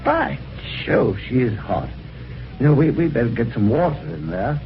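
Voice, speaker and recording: Actor as a jaunty british chap in an old radio mystery, super swoopy voice, wry, dull hissy recording. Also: male voice, mid-range voice, very animated, moderate pace, natural voice. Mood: breezy, helpful. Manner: jaunty, wry, old-fashioned gentlemanly phrasing. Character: genial, chatty, helpful husband telling his adventure. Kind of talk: 1950s radio drama acting and first-person narration.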